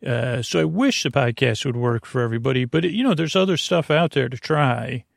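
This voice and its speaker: A man hosting a bedtime podcast in a meandering, halting, absent-minded monologue, often interrupting himself, with a gravelly voice. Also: mid-range voice, dry recording, studio quality. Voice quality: gravelly, creaky. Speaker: podcast host.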